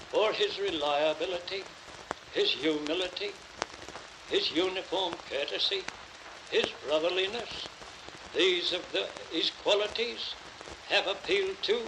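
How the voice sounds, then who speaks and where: A man giving a formal, solemn toast in a deliberate oratorical manner, with measured pauses, as at a ceremonial lodge dinner. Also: high-pitched. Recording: noisy crackling mid-century 78rpm acetate record.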